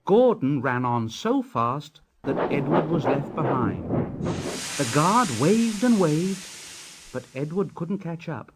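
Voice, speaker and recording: A man's voice: on the high side, very animated, takes it slowly, very noisy. A man reading a scripted children's story as its narrator. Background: music.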